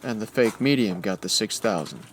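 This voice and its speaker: An actor playing a tough, hard-boiled crime photographer with a clipped, confrontational manner in a comic radio noir. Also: male voice, on the low side, expressive, normal speed, noisy background, close to an everyday voice. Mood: terse, skeptical. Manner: gruff talk, confrontational, clipped. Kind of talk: old-time radio noir acting, comedic.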